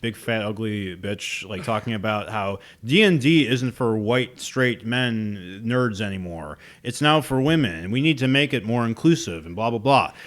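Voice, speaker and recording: A young man co-hosting a casual podcast, speaking in a low-key, hesitant way with many fillers. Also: lively intonation, moderate pace, few pauses, a bit bright. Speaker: podcast co-host. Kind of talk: casual chat.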